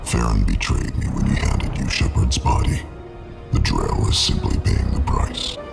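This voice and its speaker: A man's voice: a bass voice, lively intonation, unhurried, gravelly, full character voice. A man playing a huge, cold, arrogant alien crime lord in a video game, speaking in a formal, contemptuous growl with a processed monster sound. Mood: cold contempt. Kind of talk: video game villain acting. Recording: loud music behind the voice.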